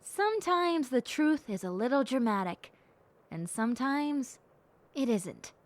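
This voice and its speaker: A woman voicing a gentle, cheerful young bat girl in an intimate audio roleplay, speaking earnestly and reflectively to the listener. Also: medium pitch, lightly acted. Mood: rueful. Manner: soft-hearted, earnest, reflective, unhurried.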